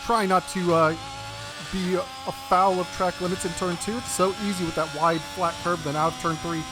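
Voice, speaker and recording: A man's voice: high-pitched, fairly even intonation, moderate pace, noisy background. A man with an American accent giving chatty play-by-play commentary on a sim racing league race. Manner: chatty play-by-play with fillers and self-corrections.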